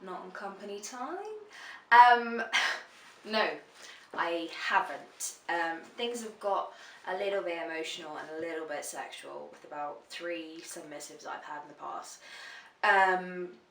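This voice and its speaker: A chatty woman in her twenties answering questions for her vlog, blunt and casual, with plenty of swearing. Her voice sits on the lower side and sounds bright and forceful. It is recorded from a slight distance in a roomy, echoing space. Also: animated, measured pace, a little hiss or hum. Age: 20s. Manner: frank and chatty, swears freely. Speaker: dominatrix answering viewer questions. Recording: roomy echo, voice a bit far from the mic.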